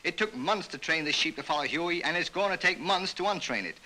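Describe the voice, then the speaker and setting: Male American newsreel announcer, 1934 style: bright, forceful voice, very animated delivery, full of wisecracks. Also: fairly high pitch, a little fast, poor audio. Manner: jaunty, wisecracking. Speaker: newsreel narrator. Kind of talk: 1930s newsreel scripted narration. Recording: bright vintage 1930s newsreel soundtrack.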